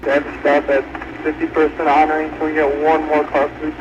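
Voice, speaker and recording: A man's voice: fairly high pitch, monotone, fairly quick, muffled recording. A man making short, routine calls over a police radio. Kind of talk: terse police radio traffic. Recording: very noisy distant radio.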